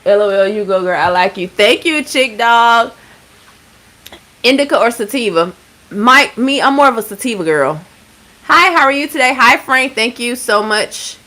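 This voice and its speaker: A woman in her thirties from Georgia, hosting a casual livestream and chatting with viewers. She is laid-back, swears freely and keeps giving shout-outs. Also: a little high, expressive, normal speed. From Georgia, USA. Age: 30s. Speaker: livestream host.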